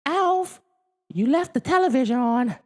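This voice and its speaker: A man's voice: extremely high pitch, lively intonation, a little slow, voice pushed up. A man voicing a caring but exasperated mother in a theatrical storybook reading. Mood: calling out. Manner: theatrical calling and sighing. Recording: long hall-like reverb.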